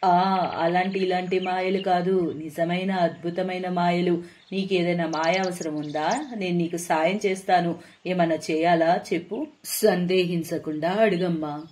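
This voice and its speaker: Storybook sorceress, middle-aged played by a woman: kindly, coaxing, unhurried delivery. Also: fairly low pitch, steady tone, a little mellow, a little hiss or hum, close to an everyday voice.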